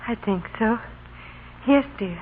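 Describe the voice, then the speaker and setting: An actress playing a gravely ill, gentle and resigned mother in an old-time radio drama. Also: a little high, animated, slow pace, slight background noise. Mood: gentle, weary. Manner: gentle, frail. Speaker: radio actor. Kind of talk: 1930s radio drama acting. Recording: tinny old radio broadcast with loud music.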